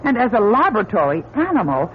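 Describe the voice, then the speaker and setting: A woman's voice: mid-range voice, very animated, fast talker, natural voice. A woman playing a level-headed doctor held captive with her space crew in an old-time radio drama, firm, reasoning and urgent. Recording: tinny narrow-band radio recording, faint noise.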